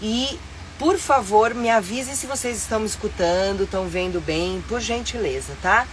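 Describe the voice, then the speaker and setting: Brazilian woman, middle-aged, a bit nasal from a cold, chatty and upbeat live host, medium pitch, faint hum of a vehicle behind her. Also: moderate pace. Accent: Brazilian Portuguese. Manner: chatty and upbeat, with frequent fillers. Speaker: live-stream host and tour guide. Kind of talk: casual live-stream chat. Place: inside her motorhome.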